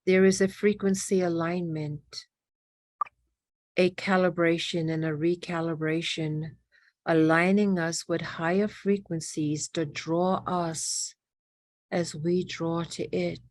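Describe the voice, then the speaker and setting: A woman's voice: on the low side, fairly even intonation, slow pace, a little mellow. A woman leading a spiritual guided meditation in a reverent, incantatory manner, repeating phrases in fragments.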